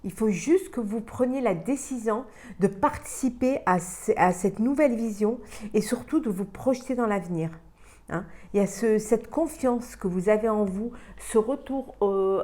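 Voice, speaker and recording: A woman's voice: on the high side, normal speed, warm tone. A woman with a cold giving a weekly card reading for the water signs, talking in a hesitant way with many fillers and repeated words. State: has a cold. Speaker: tarot reader.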